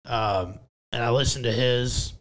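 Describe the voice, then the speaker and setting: A man hosting a relaxed podcast at home, with a voice sitting at an ordinary middle pitch. He chats casually, rambles and jokes, and leans on plenty of fillers as he goes. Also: a little slow, poor audio. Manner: joking, rambling, many fillers. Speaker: podcast host. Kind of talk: casual chat.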